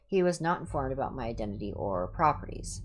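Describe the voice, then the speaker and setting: A woman's voice: a bass voice, very animated, fast talker, slight background noise, rough recording. A woman livestreamer reading horror entries aloud in an ASMR style, with casual asides.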